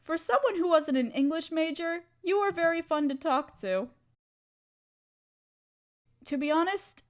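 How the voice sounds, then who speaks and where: A woman playing an affectionate, playful young wife in an intimate roleplay audio, talking tenderly and teasingly to her partner. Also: high-pitched.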